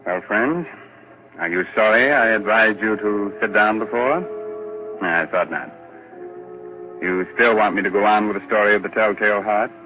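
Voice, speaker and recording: Sardonic man, lowish voice, coaxing theatrical 1940s mystery narration on a dull vintage recording. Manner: sardonic, mock-sinister, coaxing. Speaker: radio mystery host. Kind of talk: 1940s radio horror hosting, theatrical narration. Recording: muffled 1940s radio recording.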